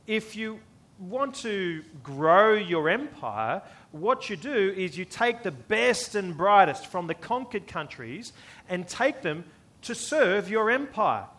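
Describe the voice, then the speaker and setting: A man with an Australian accent delivers an expository sermon in a notably high voice. He works rhetorically, posing questions and restating phrases to drive each point home. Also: a bit bright. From born in the US, lived most of his life in Australia. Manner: rhetorical, repeats phrases for emphasis, asks and answers questions. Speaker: preacher.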